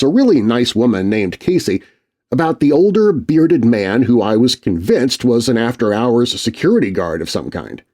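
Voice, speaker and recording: Husky, expressive male horror narrator. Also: medium pitch. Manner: dramatic, suspenseful storytelling. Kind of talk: scripted horror story narration.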